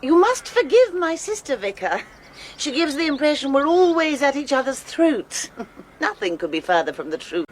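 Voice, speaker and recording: A sociable English spinster in a radio play. She teases in a high, lively voice, with faint noise in the background. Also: normal speed. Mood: bright, teasing. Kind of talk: radio drama acting.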